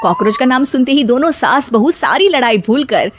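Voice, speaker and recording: A woman narrating a cartoon story in a brisk, scripted way. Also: high-pitched, big pitch swings, noisy background.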